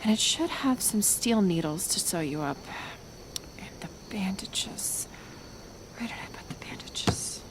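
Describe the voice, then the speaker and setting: A woman playing a kind, stubborn healer in an intimate ASMR roleplay, speaking in a gentle, halting, soothing way that often slips into whispers. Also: medium pitch, lively intonation, natural voice, low-quality recording. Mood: hushed, flustered.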